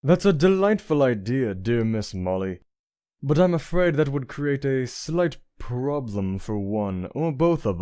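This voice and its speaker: Charming rogue prince for a comic dub, played by a man: courtly, mellow, mid-pitched, self-assured, close dry sound. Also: no echo, lightly acted. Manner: smooth-talking, genteel, self-assured. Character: suave, flirtatious, penniless prince with courtly charm.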